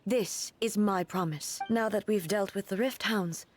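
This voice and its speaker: A woman voicing a regal female deity in an anime-style game, solemn and weary yet resolute, with formal, measured speech. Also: medium pitch, close to an everyday voice. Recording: game dialogue over background music.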